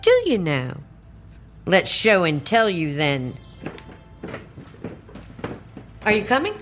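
Sly older great-aunt, animated delivery. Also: female voice, fairly low pitch, unhurried, faint music behind. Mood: coaxing. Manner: coaxing, condescending endearments. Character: sly, folksy great-aunt, sweetly evasive and nudging. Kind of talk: audio drama acting.

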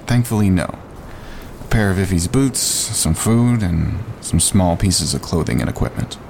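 An actor playing a calm, practical male wilderness hiker with a dryly teasing manner, in a naturalistic audio drama. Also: moderate pace. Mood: light teasing. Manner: level-headed, dryly teasing. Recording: clear outdoor ambience and rumble.

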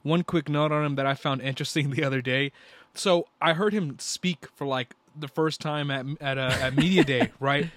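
A young man co-hosting a sports podcast, talking in an excitable, slangy way and repeating himself. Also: fairly high pitch, moderate pace, dry recording. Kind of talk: casual basketball chat.

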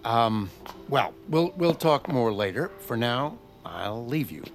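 Slow, reserved army corporal. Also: male voice, medium pitch, sing-song, soft background music. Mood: reserved, deliberate. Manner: hesitant, deliberate. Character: measured, reserved army corporal, quietly ominous superior. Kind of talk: audio drama acting.

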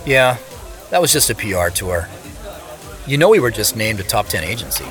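A man's voice: on the low side, sing-song, normal speed, a bit bright, faint music behind. A man acting as a wry, guarded younger brother and CEO in an audio drama, narrating in a conversational way. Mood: playful, defensive. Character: adult brother, a celebrity CEO, playful and guarded. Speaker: actor and narrator. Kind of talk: first-person audio drama narration and dialogue.

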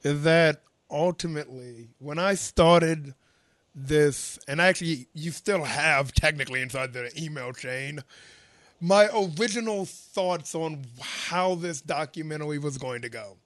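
A man with a slightly high voice, talking in a thoughtful, emphatic way. He is a documentary maker reflecting in conversation and does not soften his words. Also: measured pace. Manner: emphatic and thoughtful, blunt wording.

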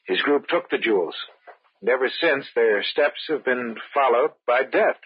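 An actor playing a calm, reasonable man hosting friends in a cabin, in old-time radio drama style. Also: medium pitch, normal speed, breathy edge, no echo. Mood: calm, reassuring. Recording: muffled old radio broadcast.

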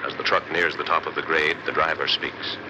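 A man's voice: extremely low pitch, steady tone, fairly quick. A man giving dramatic, declamatory scripted narration as a 1940s radio drama announcer. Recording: noisy, dull 1940s radio recording.

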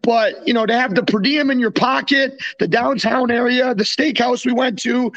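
A man's voice: very high-pitched, fairly even intonation, moderate pace, a bit bright. A young man co-hosting a podcast as a former goalie, chatting and telling slangy anecdotes on a dull, lo-fi recording. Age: young adult. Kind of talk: casual chat, anecdotes.

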